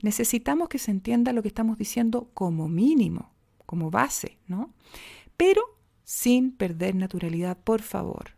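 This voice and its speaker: Chilean woman coaching diction, gravelly low tone. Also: expressive, moderate pace, dark tone. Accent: Chilean Spanish. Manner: demonstrative, shifts pitch and pace for examples. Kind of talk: podcast voice lesson.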